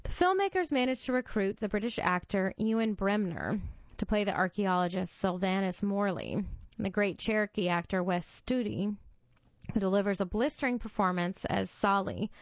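Female reader for a reading service; measured narration, close and dry, slightly muffled. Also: medium pitch, animated, no room sound. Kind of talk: read-aloud magazine narration. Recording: dull, lo-fi dry studio voice.

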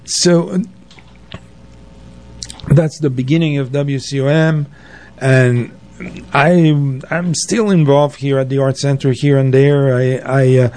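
A man being interviewed on radio, speaking off the cuff and haltingly as he searches for his words. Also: mid-range voice, darkish tone. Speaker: guest, community radio and arts centre founder. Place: radio station.

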